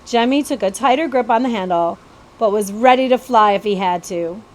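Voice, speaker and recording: A woman's voice: a little high, moderate pace. A woman reading a children's novel aloud as a storybook narrator.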